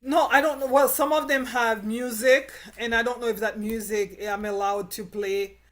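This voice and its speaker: A woman hosting a casual live-stream chat, talking in an excited, rambling, upbeat way. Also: on the high side, normal speed. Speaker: show host.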